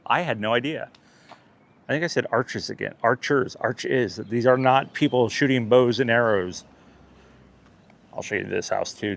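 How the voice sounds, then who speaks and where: A man's voice: on the low side, vocal fry, a bit bright. A man vlogging outdoors while touring a neighborhood, chatty and jokey, correcting himself as he goes. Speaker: vlogger, tour guide. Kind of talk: casual touring commentary.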